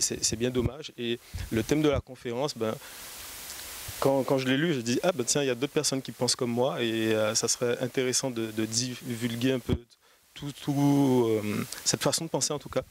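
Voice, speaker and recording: Man from Martinique answering from the audience, earnest, halting, faint hiss behind. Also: medium pitch. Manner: earnest, halting with repeated words. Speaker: audience member, coder. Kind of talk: spontaneous comment from the audience.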